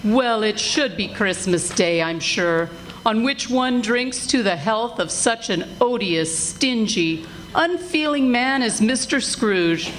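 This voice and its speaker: A woman playing a motherly, sharp-tongued working-class wife in a live radio play, with emphatic, drawn-out delivery. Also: a little high, expressive, slight background noise, rough recording. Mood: indignant, scornful. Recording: music behind live stage performance.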